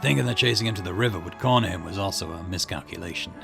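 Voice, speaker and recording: A man voicing grave, deliberate detective dialogue from an occult visual novel. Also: deep voice, vocal fry. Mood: grim, regretful. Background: game music.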